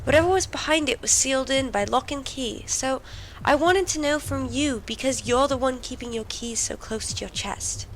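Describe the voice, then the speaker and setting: Woman as an earnest, high-voiced devoted boy. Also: moderate pace, low-quality recording. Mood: pleading, earnest. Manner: earnest, imploring, intimate. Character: earnest, devoted, wounded boy confiding in a companion. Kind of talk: audio drama acting. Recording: faint background noise and ambience.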